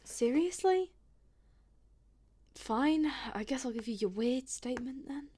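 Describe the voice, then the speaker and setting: Hesitant, higher-voiced woman acting an awkward visitor. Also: animated, slow pace, mellow, breathy edge, full character voice. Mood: sceptical. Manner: hesitant, rambling, slightly awkward. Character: hesitant, polite but sceptical young woman visitor named Lonnie. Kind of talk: audio drama acting, naturalistic.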